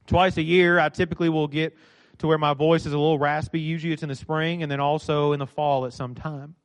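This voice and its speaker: A middle-aged man preaching a sermon in a church, with a dynamic delivery that swings from loud to soft. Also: a little high, flat delivery, fast talker. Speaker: pastor. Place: church sanctuary.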